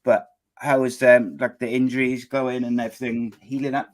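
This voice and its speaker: A man's voice: medium pitch, little pitch movement, moderate pace. A man hosting a live podcast show, chatty and loose, with frequent fillers and restarts as he introduces guests and runs the show. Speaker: podcast host.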